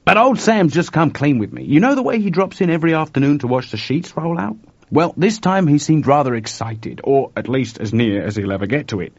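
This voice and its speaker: A man's voice: a little high, expressive, brisk, no echo, pitched above the performer's own voice. A man voicing an anxious, urgent male technician in a story reading, recorded dull and dry.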